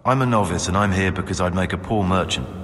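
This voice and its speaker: Bookish novice monk, lowish voice, prim n matter of fact, echoey hall. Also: male voice, fast talker, a bit bright. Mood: dry. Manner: articulate, slightly superior, matter-of-fact. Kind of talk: video game dialogue. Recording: reverberant hall with music behind.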